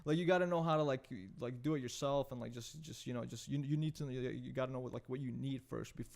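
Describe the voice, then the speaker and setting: A man's voice: mid-range voice, gravelly, a little mellow. A man in his late twenties, from Serbia, talking casually as a podcast guest, rambling with lots of "like" and "dude.". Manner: rapid rambling with constant fillers like "like" and "dude". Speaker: podcast guest, photographer and content creator.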